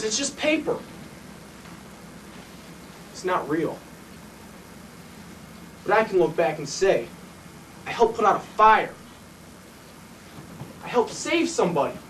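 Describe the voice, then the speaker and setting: Man playing an intense, foul-mouthed fireman, wildly animated pitch. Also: far from the mic, a little hiss or hum, poor audio. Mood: impassioned. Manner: profane, blunt, colloquial storytelling. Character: intense, foul-mouthed city fireman and former cop, male. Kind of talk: dramatic stage monologue.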